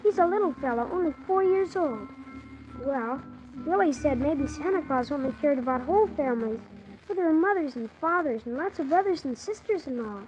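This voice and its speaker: A woman's voice: sits high, animated, brisk, darkish tone, faint music behind. A woman playing an eager, wide-eyed young orphan boy, telling his adventure earnestly and full of wonder in a 1940s children's radio serial. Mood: earnest, wistful. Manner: earnest, wonder-filled, childlike storytelling with exclamations. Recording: muffled 1940s radio transcription, faint hiss.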